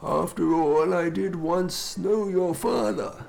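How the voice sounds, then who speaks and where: Man acting a slow, creaky, knowing village reverend. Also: sits high, darkish tone, exaggerated voice. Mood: quietly insinuating. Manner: slow, deliberate, portentous. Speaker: actor in an audio drama. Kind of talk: audio drama acting.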